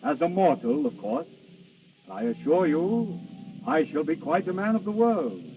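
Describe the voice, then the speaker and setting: A man's voice: a little high, big pitch swings, dark tone. An actor playing Death as a grand, imperious male spirit, speaking formally and theatrically in an old radio drama. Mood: intense, courtly. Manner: formal, theatrical, commanding, deliberate phrasing. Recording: dull, distant old radio recording with music.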